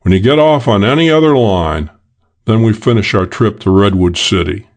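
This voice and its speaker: A man's voice: fairly low pitch, normal speed, natural voice. Middle-aged male detective for crime audiobook, done by a male narrator: stern, curt, blunt, commanding.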